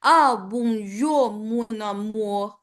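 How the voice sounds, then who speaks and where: A woman's voice: mid-range voice, extremely slow. A woman voicing a romantic male cartoon skunk with an imitated French accent, speaking in careful theatrical phrases.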